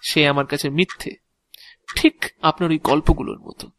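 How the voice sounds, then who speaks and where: A man acting an excitable, chatty office clerk in an audio drama, gushing sarcastic flattery with stammered repetitions. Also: a little high, brisk, dry recording, lightly acted. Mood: bitter.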